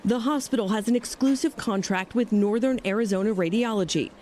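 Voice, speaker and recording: A woman's voice: mid-range voice, brisk, warm tone, a little hiss or hum. A woman with an American accent reading a scripted news report as narrator.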